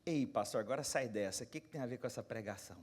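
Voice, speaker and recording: A Brazilian pastor in his forties preaching a sermon, moving from quiet, close asides to loud, rising exhortation and putting questions straight to his congregation. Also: medium pitch, quick pace, husky. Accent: Brazilian Portuguese. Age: 40s. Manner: talks straight to the congregation, asking them questions.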